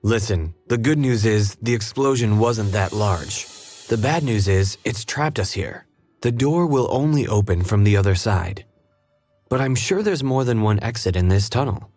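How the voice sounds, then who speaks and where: An actor playing a composed, earnest detective in an adventure game, courteous and deliberate as he guides his partner. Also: lowish voice, a little mellow, faint music behind, natural voice. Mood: relieved, urgent. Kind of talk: scripted adventure game dialogue.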